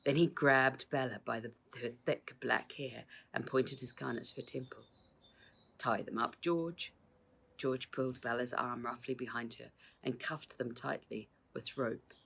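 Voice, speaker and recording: A woman reading a children's story aloud as its author, in expressive narration. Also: a bass voice, moderate pace, vocal fry, hardly any pauses, husky.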